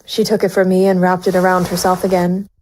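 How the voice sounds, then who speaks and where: A woman's voice: fairly low pitch, monotone, fairly quick, darkish tone, a little hiss or hum, close to an everyday voice, rough recording. Brooding female private eye played by an actress. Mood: brooding, conflicted. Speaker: narrator and lead actor. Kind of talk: noir first-person audio drama narration.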